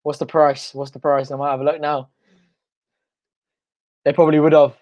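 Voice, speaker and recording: A young man hosting a live football watch-along from home, excitable and shouting, his voice going hoarse. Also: fairly high pitch, normal speed, muffled recording. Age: young adult. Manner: excitable, shouting and chanting at goals. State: losing his voice. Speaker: fan channel host. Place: home front room.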